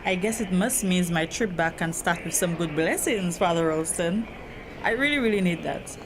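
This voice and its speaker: A woman's voice: on the low side, moderate pace. A woman acting as a hurt, troubled grown daughter in a drama, speaking earnestly and confidingly about feeling trapped. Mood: distressed. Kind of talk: dramatic acted dialogue. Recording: noisy location sound with steady ambience.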